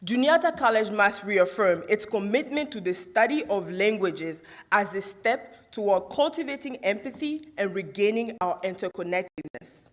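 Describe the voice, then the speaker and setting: A woman's voice: mid-range voice, lively intonation, moderate pace, few pauses. Young woman from Côte d'Ivoire, first language Guro, student orator. Prepared speech on a theatre stage, rhetorical, asks the audience questions. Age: young adult.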